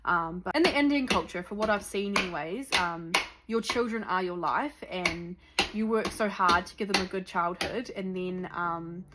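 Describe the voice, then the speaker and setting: A woman's voice: lowish voice, moderate pace, very noisy. A young woman from New Zealand with a Kiwi accent, chatting casually to camera with lots of ums and likes. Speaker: vlogger. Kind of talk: sit-down chat to camera.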